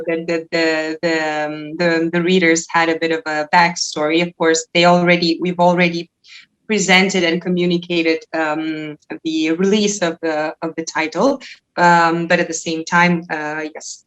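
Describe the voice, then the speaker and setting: A woman's voice: low-pitched, level tone, a little slow, a bit bright, rough recording. Hesitant woman interviewer. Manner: hesitant, many restarts and fillers. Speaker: interviewer for a bookshop. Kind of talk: live book launch interview.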